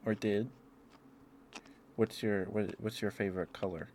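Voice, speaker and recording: A man with a very low voice chats casually on an ASMR livestream, with clear noise behind him. He meanders and hesitates as he talks to his viewers, often sounding apologetic. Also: steady tone, a little slow, a little mellow. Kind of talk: rambling ASMR stream, casual chat with viewers.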